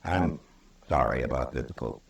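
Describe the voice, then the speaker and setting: Very deep-voiced stern Old West marshal. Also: male voice, creaky, exaggerated voice. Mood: grave, regretful. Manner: measured, terse, dryly sardonic. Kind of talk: old-time radio western acting and narration. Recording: distant, roomy old radio recording.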